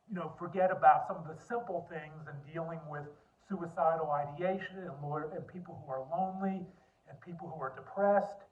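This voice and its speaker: A man with a deep voice giving an earnest personal talk, telling his story and repeating points for emphasis. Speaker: speaker on lawyer wellbeing. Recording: tinny phone-like line.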